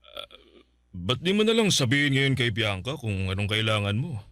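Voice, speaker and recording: A man's voice: medium pitch, big pitch swings, normal speed, fairly bright tone, dry recording, clean studio sound. A man playing a boastful, manipulative middle-aged Filipino father in a radio drama, wheedling and self-righteous as he scolds. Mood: wounded, chiding.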